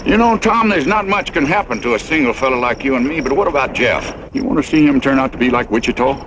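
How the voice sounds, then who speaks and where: An actor playing a worried, plain-spoken rancher in a Western drama, with a folksy American Western accent and an earnest manner. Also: male voice, medium pitch, expressive, fast, noisy background, natural voice. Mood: concerned. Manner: folksy, earnest, rustic speech. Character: plain-spoken, worried male rancher neighbor, warning a friend.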